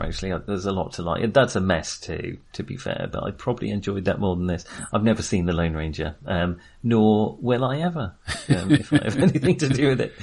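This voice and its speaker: A man's voice: fairly low pitch, vocal fry, breathy edge. A man co-hosting a podcast in a casual film discussion, talking in a hesitant, hedging, apologetic way.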